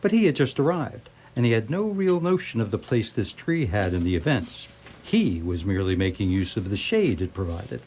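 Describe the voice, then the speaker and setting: Animated male radio play narrator. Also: fairly low pitch, normal speed, a little hiss or hum, low-quality recording. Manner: storytelling, wry.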